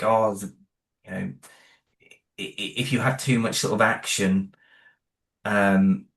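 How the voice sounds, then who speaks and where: A man's voice: lowish voice, fairly bright tone. A man chatting in an interview about making music and sound for his audio drama, talking loosely with many fillers and restarts. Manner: rambling, many fillers and restarts, self-deprecating. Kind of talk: casual interview chat.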